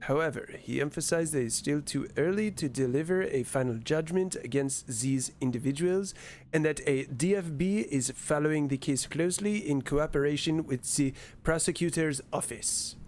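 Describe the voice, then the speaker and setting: Male game streamer, slightly high voice, wry and a little sweary, casual read-aloud commentary, some noise behind. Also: normal speed, a little mellow. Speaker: streamer playing a horror game.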